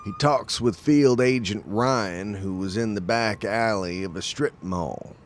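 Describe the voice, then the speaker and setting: Male narrator, lower register, scripted drama narration. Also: unhurried, slight background noise.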